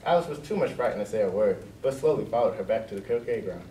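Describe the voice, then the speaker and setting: A man's voice: on the low side, expressive, quick pace, dark tone. A man reading scripted storybook narration in a readers theater performance. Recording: echoey room, distant mic, noisy.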